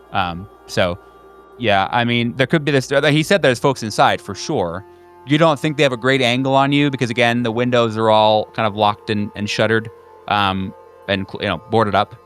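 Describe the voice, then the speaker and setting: A man working as a game master, improvising descriptive narration in a halting, conversational way. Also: medium pitch, a bit bright, clean tone.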